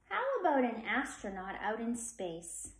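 A woman's voice: fairly high pitch, sing-song, measured pace. A woman voicing anxious, curious young children in a storybook, eagerly asking questions. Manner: eager, questioning, wide-eyed. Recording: echoey room.

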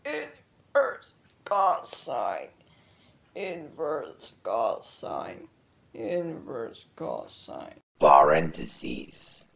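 A performer voicing animated number and math symbol characters in drawn-out, theatrical single-word audition takes. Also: medium pitch, gravelly, full character voice. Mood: forceful. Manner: drawn-out, theatrical delivery of isolated words.

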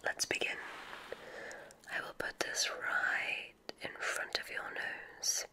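A woman whispering an ASMR roleplay as a gentle witch examiner, guiding deliberately with soft, encouraging praise.

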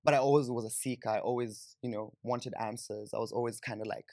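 A man's voice: mid-range voice, steady tone, quick pace, a little mellow, no echo. Young Kenyan man, dry close mic. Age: young adult. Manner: informal, frequent "like" and "you know". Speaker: podcast guest. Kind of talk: conversational personal storytelling. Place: small indoor podcast studio, on a sofa. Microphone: close studio microphone on boom arm.

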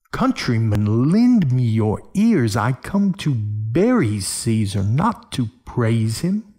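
A man playing a grieving Roman statesman in a dramatic Shakespearean reading, declaiming a funeral oration with long, weighty pauses and a husky voice. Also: on the high side, a little mellow, heavily acted. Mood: solemn, declamatory. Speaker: amateur dramatic reader. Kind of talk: dramatic Shakespearean stage oratory.

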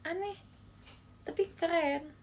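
Slow-talking young woman on a phone livestream. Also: extremely high pitch, a little mellow, slight background noise. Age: young adult. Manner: chatty, giggly, addresses viewers as guys. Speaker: idol livestream host. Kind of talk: casual livestream chat reading viewer comments. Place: small bedroom indoors. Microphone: phone held close in selfie framing.

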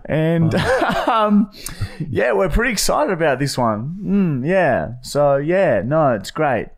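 A man's voice: on the high side, sing-song, slow pace, gravelly. An Australian man in his thirties hosting a comedy podcast, talking in a casual, hesitant, sweary way on a studio microphone. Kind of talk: casual banter and prank calling. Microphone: boom-arm studio microphone.

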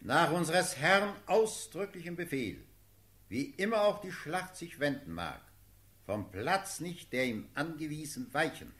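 A formal military commander in a classical radio play. His fairly high voice swings widely in pitch as he proclaims orders with ceremony. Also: big pitch swings, unhurried, fairly bright tone. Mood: commanding. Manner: declamatory, ceremonious military dictation. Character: brisk, formal field marshal dictating battle orders. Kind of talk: classical German radio drama acting.

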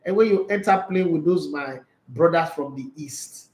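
Male sports show host in his forties, animated and rambling, slightly high voice, echoey small studio. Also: moderate pace. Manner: animated, rambling, gestures a lot. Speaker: sports show host answering viewer comments. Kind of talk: casual football commentary chat. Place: small indoor studio room.

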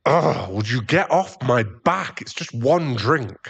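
A man's voice: mid-range voice, sing-song, slow, creaky, fairly bright tone, breathy edge, exaggerated voice. A man playing an irritable, defensive, hard-drinking werewolf boyfriend and expectant father in an ASMR roleplay audio drama, given to sighs and snappish remarks. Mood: irritated, snapping.

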